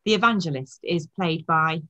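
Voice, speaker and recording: A woman's voice: low-pitched, moderate pace, pushed and bright, highs cut off. A middle-aged woman, a church minister, giving a formal welcome and introductions over a webcam from her home study.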